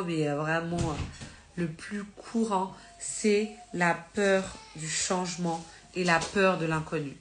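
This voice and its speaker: A woman's voice: lowish voice, slight background noise. Slightly congested woman giving a chatty sermon. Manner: conversational, with many fillers and self-corrections; earnest and encouraging. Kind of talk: informal live-stream teaching.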